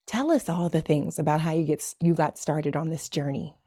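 A woman's voice: deep voice, moderate pace, dry recording. A woman hosting a faith podcast, chatting in an effusive way and often saying y'all. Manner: warm, effusive, says y'all a lot. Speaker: podcast host. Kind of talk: casual podcast chat.

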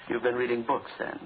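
An actor playing a calm, wryly amused, politely defiant man in a 1940s radio drama. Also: medium pitch, natural voice. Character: calm, wryly amused man defying his would-be killer. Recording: tinny, noisy 1940s radio recording.

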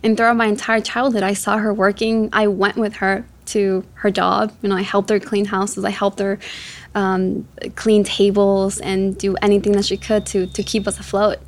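Young woman telling her story, mid-pitched. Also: level tone, normal speed. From born in Ecuador, raised in Chicago from age seven. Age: 20s. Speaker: interview guest, ocean activist. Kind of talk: personal storytelling in an interview.

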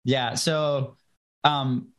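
A man's voice: mid-range voice, little pitch movement, extremely slow. A man in his thirties from Venezuela with a Venezuelan Spanish accent, a native Spanish speaker, telling his life story earnestly as a podcast guest. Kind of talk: casual storytelling interview.

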